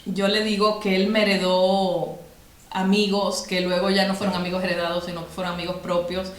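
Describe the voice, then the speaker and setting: A woman with a Cuban accent speaks as a podcast guest, thinking aloud and restarting her sentences. Her voice sits at a middling pitch. The room around her rings with noticeable echo. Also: flat delivery, fairly quick. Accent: Cuban Spanish. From Cuba. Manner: reflective, frequent fillers and restarts. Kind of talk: conversational interview.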